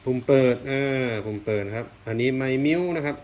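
A man with a mid-pitched voice talks casually through a product unboxing. He thinks aloud in an exploratory, polite way. There is some faint background noise with handling rustle and clicks. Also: fairly quick, darkish tone. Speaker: unboxing video host.

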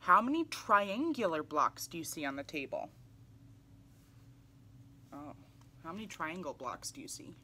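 Woman doing a kids read-aloud, bright voice, really animated and up and down. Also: low voice, unhurried, pauses often, slight background noise. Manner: animated, exclamatory, with audible gasps. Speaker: children's picture book reader. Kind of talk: read-aloud to young children, with asides. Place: small indoor room, seated in armchair.

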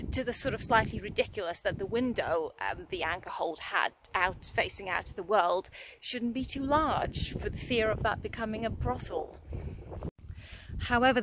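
A woman's voice: medium pitch, fairly bright tone, a little hiss or hum, poor audio. A woman in her thirties filming an outdoor vlog in a churchyard, talking casually with many restarts and fillers. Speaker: vlogger. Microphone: phone held at arm's length.

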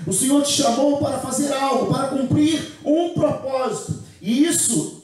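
A high-voiced man preaches into a handheld mic in a bare church hall. The sound rings with heavy echo and comes from some distance off the microphone. He leads call-and-response lines with the congregation. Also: a little mellow. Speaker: pastor. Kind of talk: Pentecost sermon.

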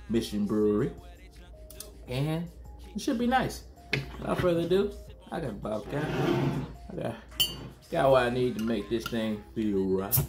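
A man in his thirties talks straight to the camera from a small room at home, loose and slangy, circling back over the same phrases. His pitch sits in the middle for a man. Faint music plays underneath. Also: darkish tone. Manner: laid-back, slangy, repeats himself. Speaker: beer review vlogger. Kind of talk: casual beer review to camera.

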